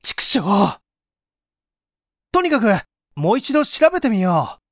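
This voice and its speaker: A man voicing a hot-headed, sharp-reasoning man in an audiobook mystery, speaking forcefully and emphatically. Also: sits high, sing-song, no echo, studio quality, pitched above the performer's own voice, full character voice. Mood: frustrated, angry. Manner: forceful, emphatic, quick to conclude.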